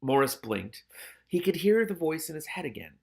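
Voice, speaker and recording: A man's voice: on the high side, sing-song, moderate pace, gravelly, darkish tone, slightly rough. A man narrating a story expressively as a reader and adding his own candid commentary.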